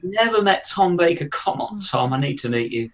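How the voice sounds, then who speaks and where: A man's voice: a little high, lively intonation, moderate pace, fairly bright tone. A British man co-hosting a fan podcast, talking casually and enthusiastically, often repeating words as he goes. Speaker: podcast co-host.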